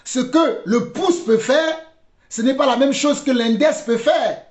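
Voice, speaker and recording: A man giving religious commentary in a deliberate, halting way, repeating phrases for emphasis. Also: extremely high pitch. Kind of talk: spoken commentary with preaching. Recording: dull, roomy, echoing recording.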